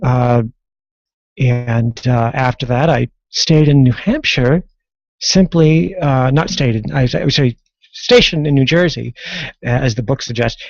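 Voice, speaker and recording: Hesitant male guest, halting and filler-heavy. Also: mid-range voice, vocal fry, no echo. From California. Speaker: guest author. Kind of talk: radio interview. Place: radio studio. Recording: dry, dull-sounding radio studio audio.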